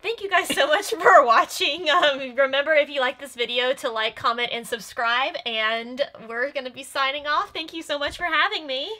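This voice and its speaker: A woman's voice: high voice, moderate pace, hardly any pauses, pushed and bright. A young adult woman giving casual commentary as a guest on a reaction show, recorded in a small room. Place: small furnished room indoors.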